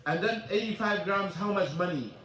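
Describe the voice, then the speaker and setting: A man's voice: high voice, moderate pace, a bit bright, slight background noise. A man whose first language is Arabic, teaching a mosque class in English with a loose, repetitive manner and questions to the audience. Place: mosque hall. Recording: echoey hall, voice from far off.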